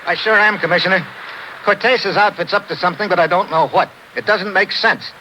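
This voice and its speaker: An actor playing a tough, slangy American investigator who narrates in clipped 1950s radio adventure style. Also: male voice, high voice, a little fast, bright, forceful tone. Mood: urgent, puzzled. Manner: clipped, hard-boiled. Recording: noisy old 1950s radio recording.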